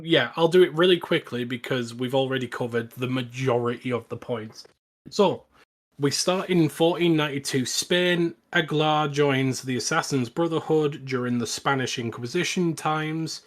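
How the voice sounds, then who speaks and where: A man co-hosting a podcast, talking casually and with strong opinions about films, with some swearing. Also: medium pitch. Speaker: podcast co-host. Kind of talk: casual film discussion and recap.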